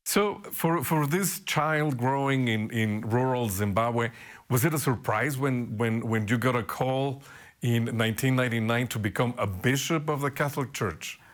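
A man's voice: medium pitch, normal speed. A man interviewing a guest, asking questions while searching for words and repeating himself.

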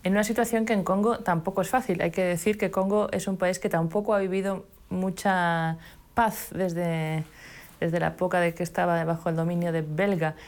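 A woman's voice: lowish voice, fairly even intonation, moderate pace, a little mellow. Woman interviewer giving context before questions. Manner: attentive, framing context before asking.

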